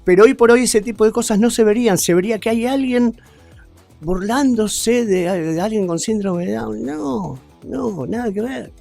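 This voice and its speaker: An Argentine man talks casually and emphatically in slang, his mellow voice a little higher than average. Faint music plays behind him. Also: normal speed, gravelly. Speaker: interviewee. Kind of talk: casual, slangy interview talk.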